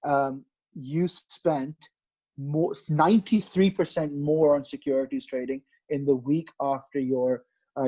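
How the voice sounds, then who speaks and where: A man in his late thirties, originally from Pakistan, speaks as a market strategist guest on a podcast. His voice is mellow and dark, at a middling pitch. He explains things at length, stopping often to restart a phrase or add a filler. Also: rough recording. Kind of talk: podcast interview answers.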